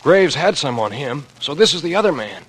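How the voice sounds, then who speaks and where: A man's voice: a little high, big pitch swings, a little fast, a bit bright, breathy edge, exaggerated voice. An actor playing an anxious, earnest young American man turned amateur sleuth in 1940s radio drama, speaking in terse, clipped deductive phrases. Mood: intent, explaining. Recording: noisy old radio drama recording.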